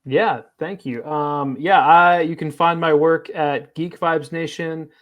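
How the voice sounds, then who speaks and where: A man's voice: a little high, steady tone, lo-fi sound. A man co-hosting a film podcast, speaking earnestly in a casual chat, often stopping and restarting his sentences. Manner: halting, many restarts, earnest. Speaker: film critic, podcast co-host.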